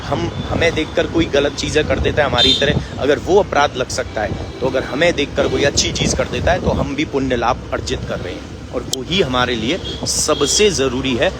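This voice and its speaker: Man with a sore throat, over loud street noise. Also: mid-range voice, moderate pace, few pauses. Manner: earnest, exhorting, conversational. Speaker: devotional live-stream host. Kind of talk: live walking devotional vlog.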